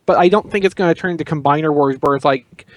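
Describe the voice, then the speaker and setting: A man's voice: a little high, fast, no room sound. A man chatting casually as a podcast panelist in an offhand, informal way. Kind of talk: casual chat.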